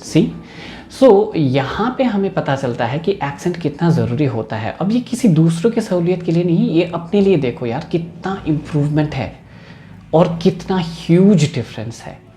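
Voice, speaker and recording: A man's voice: a little high, big pitch swings, moderate pace, dark tone, reverberant, a little hiss or hum. A man with an Indian accent, an English teacher giving a casual video lesson and mixing English into his talk. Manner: chatty, mixes English into the talk.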